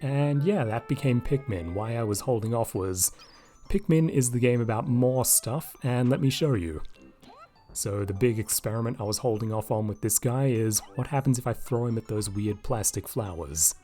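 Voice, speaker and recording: A man with a slightly raspy voice, casually commenting over gameplay into a desk microphone and thinking aloud with lots of asides. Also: medium pitch, normal speed, dark tone, faint music behind. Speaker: video game commentator. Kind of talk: casual let's-play commentary.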